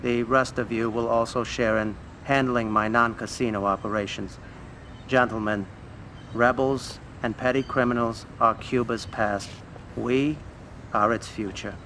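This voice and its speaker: A shrewd old gangland financier addressing his partners in a game cutscene. The voice is bright and forceful, measured, with faint noise behind it. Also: mid-range voice, fairly even intonation. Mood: proud, stately. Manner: measured, speechmaking. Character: Hyman Roth, shrewd elderly male crime boss. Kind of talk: video game cutscene acting.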